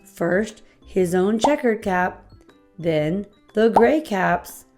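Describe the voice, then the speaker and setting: A woman narrating a children's picture book aloud, expressively, with deliberate emphasis on repeated phrases. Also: medium pitch, expressive, darkish tone, soft background music. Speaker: host reading children's books aloud.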